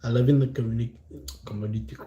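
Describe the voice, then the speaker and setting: A man from Cape Town with a Cape Flats accent, chatting casually and candidly to his livestream viewers in slangy, unscripted talk. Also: medium pitch, fast, highs cut off. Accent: Cape Flats South African. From Cape Town, South Africa. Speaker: content creator hosting a chat livestream. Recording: roomy, echoing sound.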